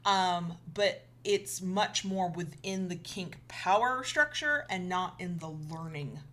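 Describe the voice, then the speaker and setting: A woman's voice: lowish voice, slow pace, fairly bright tone. Woman explaining things in emphatic, conversational style. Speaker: co-host of an educational video show. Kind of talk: conversational advice talk to viewers.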